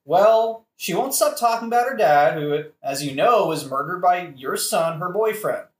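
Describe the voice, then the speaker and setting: An actor playing a deferential, flattering royal attendant who explains things earnestly in an audio play. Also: mid-range voice, moderate pace, natural voice. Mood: tactful urgency. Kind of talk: satirical modern audio play acting. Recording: echoey room.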